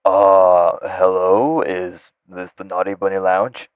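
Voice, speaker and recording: Casting: male actor as courteous, hesitant caller for audio drama, deep register, slightly muffled recording. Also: flat delivery, takes it slowly, clean tone, dry recording. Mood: awkward, courteous. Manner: hesitant, apologetic, polite. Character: polite, awkward male assistant on a business call. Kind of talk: audio roleplay acting.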